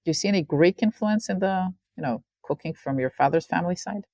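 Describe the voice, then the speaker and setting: A woman hosting an interview, giving a warm introduction and asking direct questions. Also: lowish voice, quick pace, no echo, studio quality. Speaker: podcast host, interviewer.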